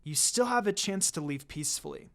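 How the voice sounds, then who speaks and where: A story reader voicing a cool, guarded young female ninja who talks in a low-key, firm way. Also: animated, a little fast, sharp tone. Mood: stern warning.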